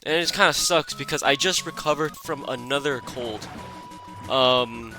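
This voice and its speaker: Raspy-voiced sick young man gaming. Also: mid-range voice. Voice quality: raspy and stuffed-up. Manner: rambling and relaxed, lots of fillers. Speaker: gaming channel host. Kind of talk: casual gameplay commentary. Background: background music.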